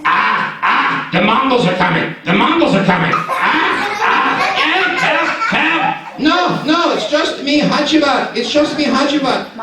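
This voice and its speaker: A man's voice: very high voice, animated, normal speed, few pauses, very noisy. A man voicing a chatty, ingratiating male know-it-all in a live puppet show, coaxing the audience to join in. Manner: eager, coaxing, leads the audience in call-and-response. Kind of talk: live shadow puppet theatre. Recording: echoey hall, distant mic.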